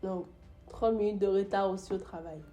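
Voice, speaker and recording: A woman's voice: medium pitch, steady tone, normal speed, warm tone, soft background music. Chatty young woman with a flu voice. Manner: chatty, with many fillers and restarts. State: sick with the flu. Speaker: YouTuber. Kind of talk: casual storytime vlog.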